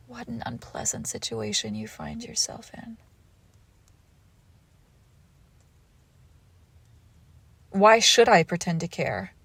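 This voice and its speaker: A woman acts out a menacing female crime boss with a wounded lover's heart, in an intimate audio roleplay. She speaks slowly and deliberately, with a creaky edge and breathy drops into whispers. The sound is close and dry, and she stays seductive and commanding. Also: medium pitch, no room sound. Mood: mocking, dismissive.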